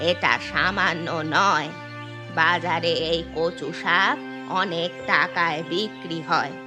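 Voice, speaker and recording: Woman acting a slow-talking old village grandmother. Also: fairly low pitch, steady tone. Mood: scolding, indignant. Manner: scolding, accusing, slow deliberate delivery. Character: scolding old village grandmother who guards her pond. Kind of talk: cartoon story dialogue. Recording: music behind the voice.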